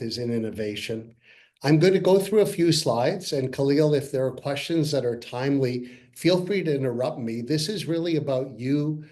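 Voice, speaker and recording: Sixties male co-founder, earnest and measured, pitching investors over video call. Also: darkish tone. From Canada. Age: 60s. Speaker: company co-founder presenting to investors. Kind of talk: webinar presentation. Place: parents' home, by video call.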